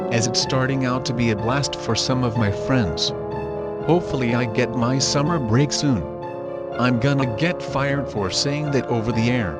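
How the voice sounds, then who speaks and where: A man reading a scripted news bulletin as a broadcaster, with informal, casual asides. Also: mid-range voice. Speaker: news broadcaster in an animated emergency-alert story. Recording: music behind the voice. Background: prominent music.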